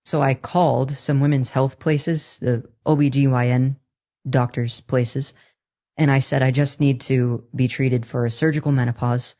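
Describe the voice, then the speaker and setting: A woman in her twenties speaks with a low, somewhat masculine-leaning voice. As a podcast guest, she tells her story earnestly and candidly. She often stops and restarts her sentences. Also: steady tone, a little slow, dark tone. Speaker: interview guest. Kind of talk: personal testimony in a podcast interview.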